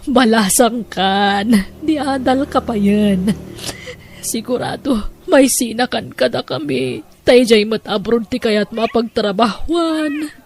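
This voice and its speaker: A woman's voice: a little high, slightly rough, heavily acted. A woman playing a tender, patient wife and mother in an audio drama, with an Ilocano accent. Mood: tearful, touched.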